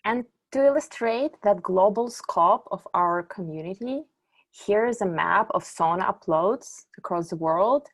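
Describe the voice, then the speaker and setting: A woman's voice: mid-range voice, lively intonation, measured pace, slightly rough. Woman from ukraine giving a talk. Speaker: conference speaker, company executive. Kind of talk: conference presentation.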